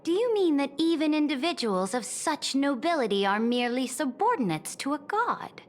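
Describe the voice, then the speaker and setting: A woman's voice: high-pitched, sing-song, normal speed, slight background noise. A woman voicing a proud young conquering empress in a video game, speaking formally and haughtily. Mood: regal, musing. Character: proud young-looking female conquering emperor, regal and imperious. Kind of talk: video game character acting.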